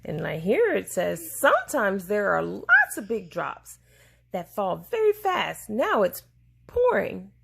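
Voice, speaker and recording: A woman reading a children's science book aloud to a child in a deliberate, explaining way, pausing to answer questions. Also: mid-range voice, huge pitch swings, creaky, fairly bright tone, husky.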